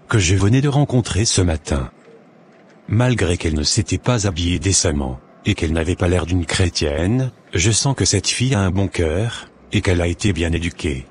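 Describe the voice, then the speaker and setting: A man playing an earnest young man, low-voiced. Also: a little hiss or hum. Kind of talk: scripted cartoon dubbing.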